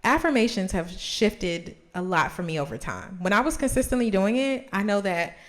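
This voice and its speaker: A thirty-year-old woman hosts in a casual, conversational style, speaking straight to her viewers. Her voice sits at an average pitch for a woman. She is encouraging and puts emphasis on her points. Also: animated, normal speed. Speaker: host of a journaling video series. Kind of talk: casual talk to camera.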